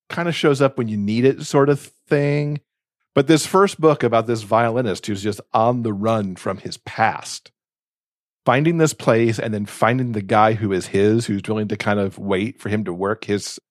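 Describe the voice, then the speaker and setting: A man with a fairly low voice talks in casual, enthusiastic book-chat style. The sound is dry and close, with almost no room around it, and he comes across as a gushing podcast co-host. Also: no room sound.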